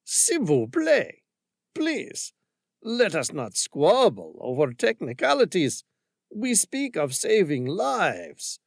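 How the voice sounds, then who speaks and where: A nervous French commander pleads for his life in a heavy French accent, as a man puts him on. The voice climbs high and stretches each word slowly. The sound is dry and close. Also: wildly swooping pitch, vocal fry, no echo, heavily acted. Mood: pleading. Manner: high, slow, pleading delivery.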